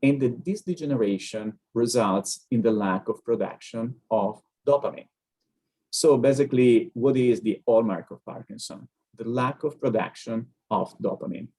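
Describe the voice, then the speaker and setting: A man with an Italian accent, a neurologist explaining things on a video-call webinar, pausing often with hesitant fillers. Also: mid-range voice, warm tone, poor audio. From Italy.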